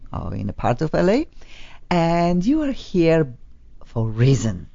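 A woman's voice: sits low, dark tone, highs cut off. A woman with a Polish accent hosting a casual studio talk show, enthusiastic and repeating words for emphasis. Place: studio on a top floor.

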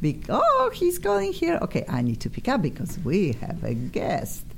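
A woman's voice: mid-range voice, wildly swooping pitch, moderate pace, gravelly, a little hiss or hum. A woman with a Polish accent hosting a live radio interview show, effusive and hesitant with many fillers. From Poland.

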